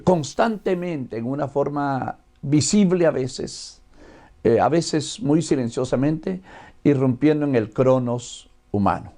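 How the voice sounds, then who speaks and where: Mellow older Guatemalan man teaching. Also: fairly high pitch, creaky. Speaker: missionary teacher. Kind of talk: religious lecture.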